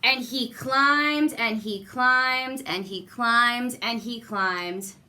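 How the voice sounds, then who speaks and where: A woman narrates a children's storybook in an expressive style. Her voice is bright and slightly high, and she takes her time, placing deliberate emphasis on phrases for young listeners. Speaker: guest story reader.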